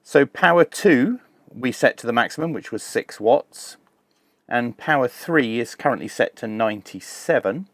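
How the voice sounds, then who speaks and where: A man hosting a hands-on tech demonstration, explaining each step in a measured, informal way. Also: medium pitch, expressive, fairly bright tone, no room sound. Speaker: host of a radio tech review channel.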